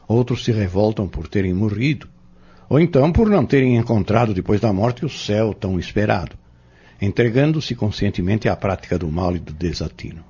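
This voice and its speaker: Man playing a low-voiced, husky Brazilian spiritual mentor. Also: animated, mellow. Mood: solemn, explanatory. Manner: formal, measured, preacherly. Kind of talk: audio drama acting, didactic monologue. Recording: dull lo-fi audio.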